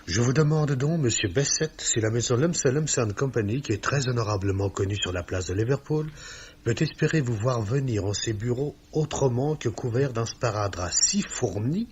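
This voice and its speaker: An actor playing a stern, sanctimonious company boss who speaks in pompous, formal, scripture-laden sentences in a 1950s-style radio mystery. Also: male voice, medium pitch, expressive, moderate pace, a little mellow. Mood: stern, sententious. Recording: dull old radio recording with clear hiss.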